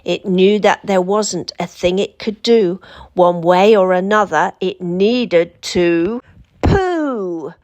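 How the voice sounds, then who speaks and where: A British woman storyteller reading a children's picture book aloud with an expressive, deliberate, dramatic delivery. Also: fairly low pitch. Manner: deliberate, dramatic rhyming delivery for young listeners.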